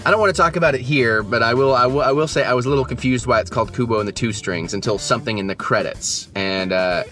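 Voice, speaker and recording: A man's voice: medium pitch, fast talker, sharp tone, faint music behind. Hesitant young man reviewing a film. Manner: hesitant, rambling, many fillers and restarts. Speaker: movie reviewer, channel host. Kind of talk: casual post-movie review chat. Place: just back from the theater, at home.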